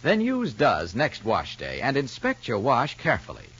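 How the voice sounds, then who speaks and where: A man's voice: medium pitch, wildly swooping pitch, normal speed, a bit bright, slightly rough. A man working as a 1950s radio announcer, narrating and selling in a polished, emphatic, dramatic manner. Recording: muffled old radio recording.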